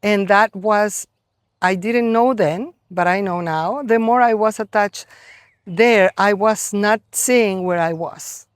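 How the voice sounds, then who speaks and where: A middle-aged woman from Colombia with a Colombian Spanish accent, giving an earnest, reflective spiritual talk to a gathering, with many pauses and fillers. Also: medium pitch, no echo, clean studio sound. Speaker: gathering organizer.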